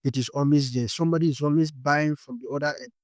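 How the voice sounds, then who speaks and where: A man's voice: a little high, steady tone, fast talker, a little mellow, no echo. A Nigerian man with a Nigerian accent, teaching a beginners' course in a step-by-step way and checking in with "okay?" after his points. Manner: explains step by step, tags lines with "okay?". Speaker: forex trading instructor. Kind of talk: explanatory course lesson.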